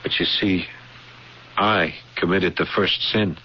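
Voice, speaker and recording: An earnest, haunted younger man in a radio play, weighed down by guilt. He talks haltingly and doubles back on his words. The recording is dull and hissy, like an old broadcast. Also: low voice, fairly even intonation. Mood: haunted, confessional. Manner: hesitant, halting, repeating words. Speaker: radio drama actor. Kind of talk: old-time radio drama acting.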